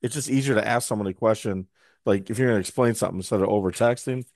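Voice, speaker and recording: A man co-hosting a podcast in casual chat, his voice hoarse and scratchy, talking in a wry, grumbling way. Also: fairly low pitch, fast, a little mellow, no echo, studio quality. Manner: wry, grumbling, mimics others in quotes. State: hoarse, recovering from lost voice. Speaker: podcast co-host.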